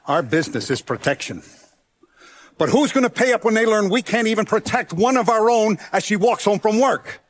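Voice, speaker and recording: A man voicing a middle-aged mob boss in a video game, paternal and proud, speaking deliberately and with authority in streetwise gangster talk. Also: sits high. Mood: cold fury. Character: commanding, paternal, proud mob boss, principled yet ruthless. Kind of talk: dramatic video game voice acting.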